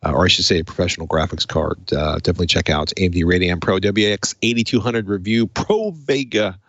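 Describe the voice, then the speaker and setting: A man's voice: low-pitched, fast, a bit bright, dry recording, highs cut off. A man hosting a tech podcast, talking through hardware and reading in a presenter's way. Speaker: podcast host. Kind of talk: tech podcast talk and host-read sponsor spots.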